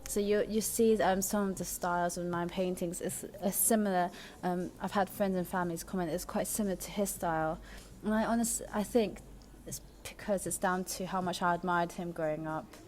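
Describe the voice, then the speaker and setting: A young woman artist from Taiwan and England speaks in a reflective, earnest way. Her voice sits a little lower than most women's. She keeps thinking aloud, with ums and false starts as she hunts for the right words. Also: fairly even intonation, normal speed, darkish tone, a little hiss or hum. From Taiwan, raised in England. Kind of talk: personal talk about her art.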